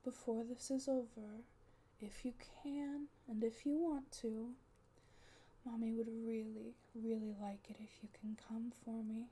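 Woman half-whispering, slow and doting, faint hiss behind. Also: fairly high pitch. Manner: soft, often unvoiced whispering; coaxing, doting caretaker tone. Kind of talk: intimate audio roleplay.

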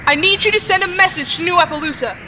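A woman's voice: very high voice, fast, bright, forceful tone, slight background noise, poor audio. A woman voicing an urgent, bossy young female pony adventurer, cross and insistent, in audiobook dialogue.

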